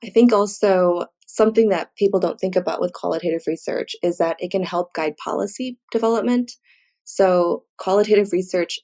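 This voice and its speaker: A woman's voice: fairly low pitch, darkish tone. A woman speaking as an expert guest in an interview, explaining academic research in structured, articulate points with examples.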